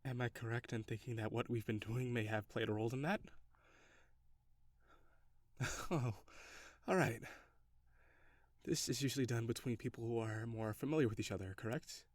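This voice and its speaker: A man's voice: medium pitch, steady tone, moderate pace, pauses often, dry recording, natural voice. A man playing a curious, reserved alien in an intimate audio roleplay, speaking in stiff, formal, precise phrasing. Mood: curious, tender. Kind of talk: intimate scripted audio roleplay addressed to the listener.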